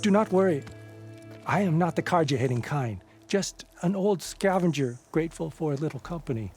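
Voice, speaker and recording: A man's voice: fairly high pitch, expressive, normal speed, a little mellow, natural voice. An actor playing a friendly old male scavenger in a video game, with a knowing, storytelling drawl. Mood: wry. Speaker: game character. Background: game music.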